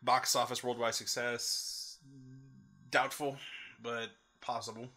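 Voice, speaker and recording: Excitable young man, very bright forceful voice. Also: mid-range voice, slow pace, soft background music. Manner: excitable, breaks into shouted mock quotes and repeats himself. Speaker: podcast co-host. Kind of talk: casual gaming podcast banter.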